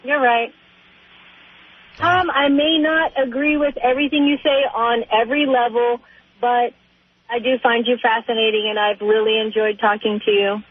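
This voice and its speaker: Over a thin, narrow telephone line, a woman phones in to a talk radio show. Her voice is high and slightly husky, and she talks casually in an agreeable way. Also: steady tone, moderate pace.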